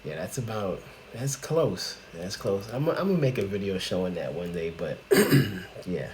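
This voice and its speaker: A man's voice: sits low, lively intonation, moderate pace, a little mellow, slight background noise. A man, hosting a casual reaction video, chatty and laughing, often exclaiming in disbelief. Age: 40s.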